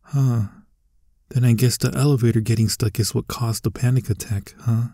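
Man acting as mellow tsundere young bully. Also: medium pitch, steady tone, moderate pace, no echo, studio quality, natural voice. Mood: concerned, soft. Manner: hesitant, stammering, softly spoken asides. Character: tsundere young male bully, teasing but secretly caring. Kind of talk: ASMR roleplay acting.

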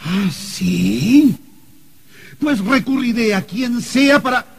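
Radio drama role: indignant murdered gentleman, extremely high, husky, melodramatic. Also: expressive, slow, exaggerated voice. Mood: impatient. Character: outraged, whining murdered gentleman newly in the afterlife. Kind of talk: Spanish-language radio drama acting.

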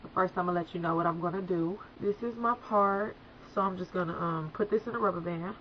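A woman's voice: fairly low pitch, quick pace, slight background noise. A young woman with a slight cold chatting casually to viewers through a hair tutorial in a small room. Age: young adult. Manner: chatty, addresses viewers as guys. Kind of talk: casual how-to talk. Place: small indoor room by a folding screen. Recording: dull lo-fi sound with music behind.

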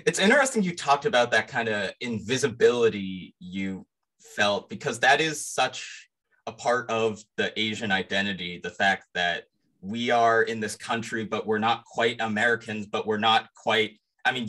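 Host: young man, lowish voice, relaxed and thoughtful interviewer on a webcam call. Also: moderate pace. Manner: thoughtful, loosely phrased questions. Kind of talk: casual interview questions. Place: indoors, plain wall. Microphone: video call webcam mic.

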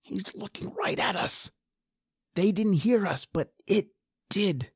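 A man's voice: high voice, slow pace, vocal fry, breathy edge, no echo, clean studio sound, exaggerated voice. A man playing a desperate, injured husband trapped underground in a horror radio drama. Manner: pleading, ragged, then defiant.

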